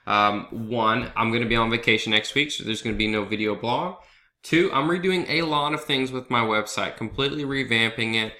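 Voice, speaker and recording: A man's voice: medium pitch, a little fast, pushed and bright, echoey room. A man with a head cold, sniffling a little, talking through stock charts in a loose, conversational way as the host of his own video blog. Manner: conversational, loose, with fillers and asides like "right?". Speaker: trader hosting a market analysis video blog. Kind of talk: casual chart walkthrough over a screen recording.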